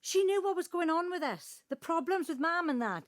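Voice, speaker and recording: A very high-pitched, distraught daughter. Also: female voice, lively intonation, moderate pace, dry recording, clean studio sound. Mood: defensive. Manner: pleading, distraught. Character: defensive, guilt-ridden adult daughter hiding a secret. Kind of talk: emotional audio drama dialogue.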